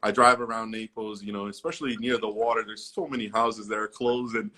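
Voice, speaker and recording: A man with a bright, forceful voice hosts a relaxed local business interview. He talks loosely, stacking fillers and restarting his sentences as he goes along. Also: on the low side, fairly quick. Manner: chatty, many fillers and restarts. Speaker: host. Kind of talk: casual interview.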